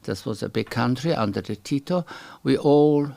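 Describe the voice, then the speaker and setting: A middle-aged man from Slovenia speaks English with a clear Slovenian accent and a gravelly, fry-tinged voice. He is a guest in a relaxed interview and talks in halting second-language English, often pausing to find a word. His pitch sits in a middle range. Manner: halting, searches for English words. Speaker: guest artist. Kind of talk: casual interview chat.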